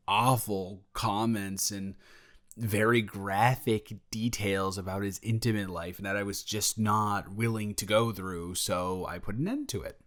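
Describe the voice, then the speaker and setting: A young man playing a protective, secretly jealous male roommate in an improvised intimate audio roleplay, talking in a casual, halting, hesitant way. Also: lowish voice, a bit bright, natural voice.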